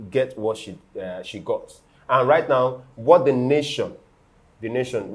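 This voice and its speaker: A Nigerian man with a Nigerian accent hosting a casual sports talk show, animated and teasing. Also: medium pitch. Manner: animated, teasing, laughs often. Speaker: host. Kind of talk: casual studio sports chat.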